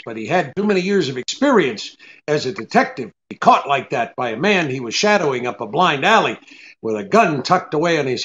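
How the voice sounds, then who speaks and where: A tough, shrewd private detective in a radio drama. His fairly high voice moves around a lot, clipped and hard-boiled, on slightly muffled audio. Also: fairly bright tone. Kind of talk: old-time radio drama acting.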